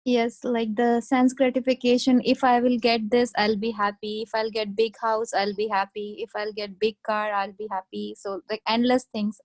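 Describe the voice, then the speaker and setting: A woman's voice: fairly high pitch, level tone, normal speed, hardly any pauses, no echo, poor audio. A woman taking part in a group class, sharing an answer in simple, repetitive phrases. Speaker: class participant.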